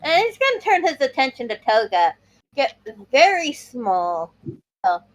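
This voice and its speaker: Animated woman with a high voice. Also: a little slow, low-quality recording. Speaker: player in a tabletop roleplay game. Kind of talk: improvised roleplay narration and dialogue.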